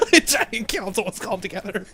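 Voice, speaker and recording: A man's voice: sits high, big pitch swings, moderate pace. A man co-hosting a fan fiction reading, riffing and joking casually in conversation. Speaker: co-host reacting to a reading. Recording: clear background noise.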